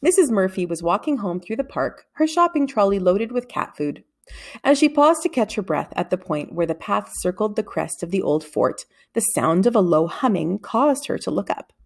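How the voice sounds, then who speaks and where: A woman reading a children's story aloud as an expressive narrator. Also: medium pitch, moderate pace.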